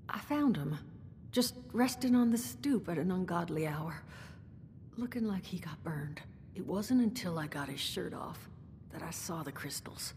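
A low, measured, weary woman boss. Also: a little mellow. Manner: measured, hesitant pauses, conversational. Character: weary, firm, compassionate woman, boss of a hideout. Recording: reverberant room, background noise.

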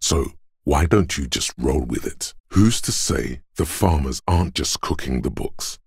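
An actor playing an inner voice of cold deductive logic in a video game, measured, deliberate and dryly condescending, with a gravelly, husky voice. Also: male voice, extremely low pitch, lively intonation, darkish tone, no echo, studio quality, heavily acted. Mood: dismissive. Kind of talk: video game voice-over, scripted narration. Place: dry studio recording.

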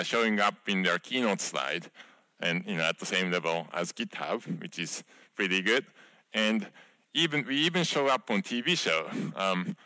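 Low-voiced man giving a conference keynote. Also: sharp tone, dry recording, rough recording. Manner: conversational with many fillers and restarts. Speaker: keynote speaker, software project creator. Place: large conference hall stage.

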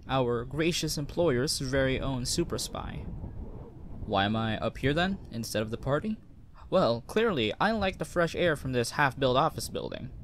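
A man's voice: mid-range voice, a little hiss or hum, natural voice. A man playing a cocky, easygoing veteran sci-fi spy, talking in a casual, wry, joking way in an audio roleplay. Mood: breezy, joking. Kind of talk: conversational one-sided audio roleplay acting.

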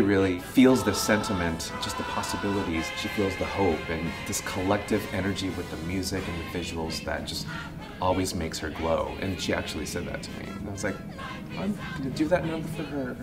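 Young male actor, low voice, heartfelt, with music playing under him. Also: normal speed. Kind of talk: sit-down interview.

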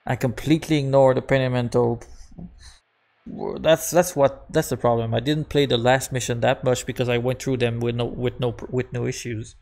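Québécois-accented man, native French speaker, chatty streamer, self-deprecating chuckles, mid-pitched voice, home desk mic. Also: flat delivery, normal speed, gravelly, darkish tone. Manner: rambling, self-mocking, chuckles often, repeats words. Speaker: game streamer. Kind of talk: casual live-stream commentary.

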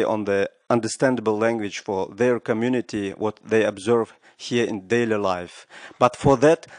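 A man's voice: medium pitch, no room sound. Man, middle-aged, earnest, conference floor comment, desk mic, dry. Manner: earnest, non-native phrasing, gestures while speaking. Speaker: audience member asking a question. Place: large conference room. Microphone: desk microphone, wearing headset.

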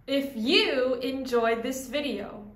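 A young woman reading a children's picture book aloud as host, in an animated, carefully enunciated way. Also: sits high, takes it slowly. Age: young adult. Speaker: host and children's story reader. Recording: echoey indoor room.

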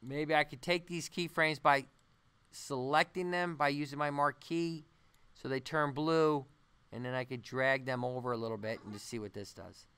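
A man giving an unscripted software tutorial, thinking aloud haltingly as he works through each step. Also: mid-range voice, steady tone, clear, resonant tone. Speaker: animation tutorial instructor. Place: voice-over on a screen-recording tutorial.

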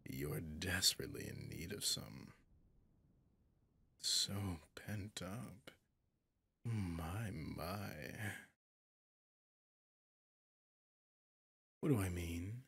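A man's voice: sits low, animated, pushed and bright, no room sound, full character voice. A man voicing a possessive, gloating demon in an ASMR roleplay, seductive and menacing, often slipping into a whisper. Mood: wicked, teasing. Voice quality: gravelly, breathy, often whispering. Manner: slow, seductive, menacing, condescending.

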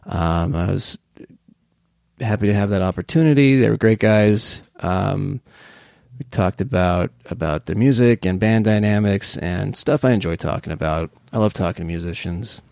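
Gravelly low-voiced male podcast host. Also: moderate pace, darkish tone, no echo, studio quality. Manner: rambling, filler-heavy, friendly questions. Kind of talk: casual interview and spoken intro.

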